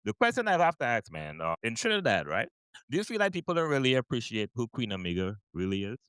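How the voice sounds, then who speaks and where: A man's voice: medium pitch, huge pitch swings, quick pace, a bit bright, clear, resonant tone, no room sound, low-quality recording. A man hosting a podcast, asking casual, rambling interview questions. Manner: rambling, many fillers and restarts. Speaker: podcast host.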